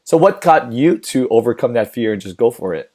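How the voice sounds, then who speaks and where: A man from the Bay Area hosting a casual podcast interview, with a hoarse, raspy voice because he has lost his voice. He talks in a friendly, encouraging way. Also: medium pitch, animated, darkish tone. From San Francisco Bay Area. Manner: friendly, encouraging, quick to laugh and agree. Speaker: podcast host.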